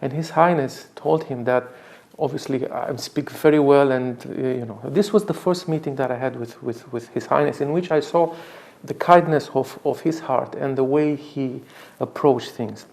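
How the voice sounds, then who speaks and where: Halting, mellow-voiced man from Romania. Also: mid-range voice, vocal fry. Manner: halting, hesitant, formal, repeats words. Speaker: diplomat, interviewee. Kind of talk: spoken tribute in an interview.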